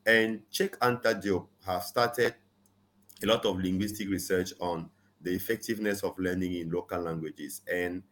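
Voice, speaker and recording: Earnest low-voiced man, emphatic. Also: fairly even intonation, normal speed, fairly bright tone. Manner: earnest, frequent "you know", rising emphasis on key points. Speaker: guest. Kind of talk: conversational interview, advocacy.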